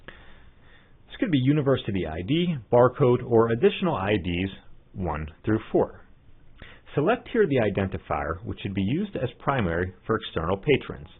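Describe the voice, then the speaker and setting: Low-voiced man narrating tutorial, phone-like sound. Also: breathy edge. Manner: instructional, step-by-step. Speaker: software trainer. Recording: muffled narrow phone-like line.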